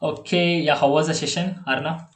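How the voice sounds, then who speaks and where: A man's voice: fairly high pitch, steady tone, moderate pace. Hesitant Indian-accented young man, echoey. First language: Telugu. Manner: hesitant, many fillers and restarts. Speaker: online coding tutor. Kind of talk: casual online tutoring session. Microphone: video call. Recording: echoey video call audio.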